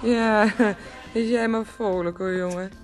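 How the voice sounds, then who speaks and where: An actress playing a tipsy, teasing, dreamy wife in a Dutch radio play, speaking haltingly and repeating her words. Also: mid-range voice, steady tone, natural voice. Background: music playing.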